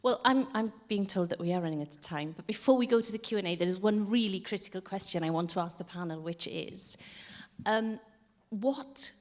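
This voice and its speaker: A woman's voice: mid-range voice, a little fast. A woman moderating a conference panel, who puts her questions politely and in order. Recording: hall reverb.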